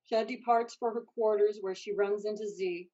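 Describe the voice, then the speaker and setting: A woman's voice: medium pitch, steady tone, moderate pace, mellow, highs cut off. A woman reading scripted stage directions as narrator.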